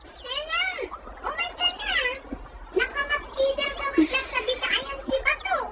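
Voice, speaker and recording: A woman's voice: extremely high pitch, unhurried, music in the background. A woman with an Ilocano Filipino accent playing an outspoken, teasing village best friend in an audio drama, blunt and prodding. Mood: calling out. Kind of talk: audio comedy-drama acting.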